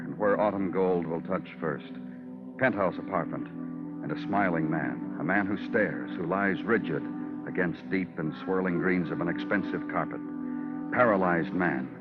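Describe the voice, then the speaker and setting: An actor playing a brooding, poetic police detective, narrating a vintage radio crime drama in a solemn North American voice. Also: male voice, low-pitched, normal speed, close to an everyday voice. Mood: solemn, ominous. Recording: muffled vintage radio recording, loud music.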